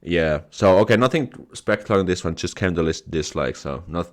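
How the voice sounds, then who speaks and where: A man's voice: deep voice, animated, fairly quick. A young man from Finland with a Finnish accent, chatting on a live stream as host, reading comments aloud, laughing things off and restarting words often. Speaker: YouTube host.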